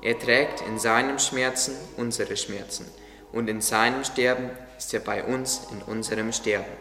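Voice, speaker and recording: A man's voice: mid-range voice, steady tone, normal speed, pushed and bright. A man playing a devout, solemn gardener who preaches fondly, in a religious radio play. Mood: consoling, reverent. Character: devout, solemn male gardener, fond of his tree. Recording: echoey long reverb, music behind.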